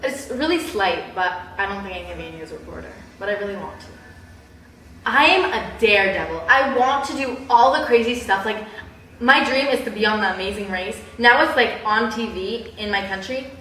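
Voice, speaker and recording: A young woman chatting casually in a personal vlog, with a bubbly, animated, giggly way of exaggerating things. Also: mid-range voice, normal speed. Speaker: vlogger. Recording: roomy echo, distant mic, background hiss.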